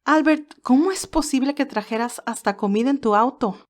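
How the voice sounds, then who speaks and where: A woman's voice: high-pitched, moderate pace, darkish tone, voice pushed up, close to an everyday voice. Woman acting out an earnest, remorseful young woman. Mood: surprised. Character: young tearful, remorseful, naive woman, a nurse.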